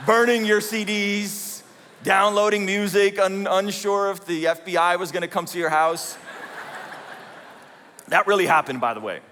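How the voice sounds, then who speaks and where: A man's voice: a little high, fairly bright tone. Joking man telling his story, hall reverb. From Azerbaijan. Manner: joking, self-deprecating storytelling. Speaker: conference speaker. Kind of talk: personal story talk to a conference audience. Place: large conference hall.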